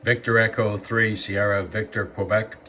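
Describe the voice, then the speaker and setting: A man operating amateur radio, sending his call sign phonetically in a deliberate, emphatic way. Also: on the low side, little pitch movement. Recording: distant mic, faint radio noise.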